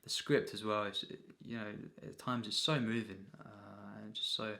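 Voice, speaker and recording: A man with a fairly low, creaky voice gives an off-the-cuff film review. His delivery stays in a narrow pitch band with a hard, forceful edge. He speaks haltingly, circling back and repeating himself as he goes. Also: fairly even intonation. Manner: halting, repetitive, frequent throat clearing and filler phrases. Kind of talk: unscripted, rambling film review.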